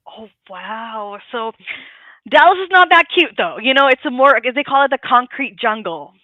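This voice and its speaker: A woman's voice: on the high side, expressive, moderate pace, no room sound. A woman hosting a casual live interview, effusive and gushing, rambling with lots of fillers. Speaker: interview host. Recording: dry, tinny phone-like line.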